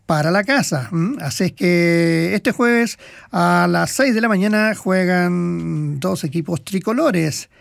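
A man's voice: sits high, takes it slowly, gravelly, dry recording, studio quality. A man co-hosting a casual sports talk segment, rambling through match results with many fillers and hesitations. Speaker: sports commentator co-host. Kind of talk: casual radio sports chat.

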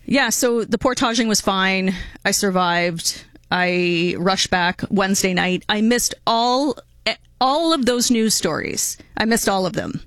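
A chatty woman hosts a radio show, upbeat and quick to laugh. Her voice sits at a middle pitch and sounds close and dry, with no room around it. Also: fairly bright tone, no room sound. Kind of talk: casual on-air chat.

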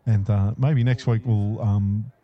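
A man's voice: lowish voice, steady tone, a little slow. A male radio DJ, chatting easily between songs with lots of uh and um fillers. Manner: easygoing, frequent uh and um fillers. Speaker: radio host. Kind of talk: casual radio DJ patter. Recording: dull lo-fi radio broadcast.

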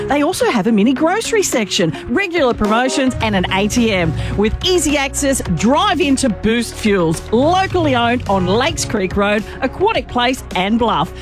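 A woman voicing a local radio ad with an energetic, sing-song delivery. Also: sits high. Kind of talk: radio advertisement. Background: music bed.

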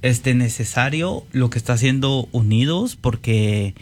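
A man's voice: mid-range voice, measured pace. A man with a Guatemalan accent, speaking conversationally as a guest painter introducing himself on a panel.

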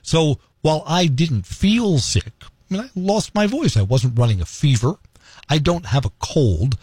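Rich: A male morning radio host in a loose, self-deprecating monologue, his voice husky as it recovers after being lost. He swings widely up and down in pitch, sitting a little high for a man, on a dry, close studio mic. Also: expressive, measured pace, a little mellow, dry recording. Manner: chatty, self-deprecating storytelling. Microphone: studio microphone.

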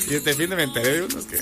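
A Guatemalan man co-hosting a radio show, chatty and playful, dishing celebrity gossip and laughing often. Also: fairly high pitch. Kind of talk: lively gossip banter.

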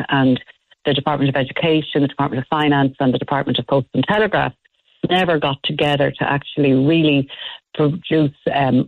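A woman's voice: extremely low pitch, dry recording. Irish woman on a tinny phone line. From Ireland. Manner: thoughtful, with frequent fillers and restarts. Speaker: radio lecturer and author. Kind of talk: guest on a radio interview.

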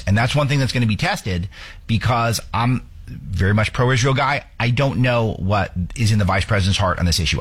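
A man's voice: lowish voice, slightly rough. A man from the United States hosting a talk show, giving opinionated political commentary with earnest, stumbling restarts.

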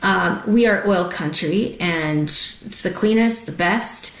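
Lowish-voiced woman from alberta, earnest rambly guest, echoey room. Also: takes it slowly. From Canada, Alberta. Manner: earnest, rambling, thinks aloud. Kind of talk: casual talk-show chat.

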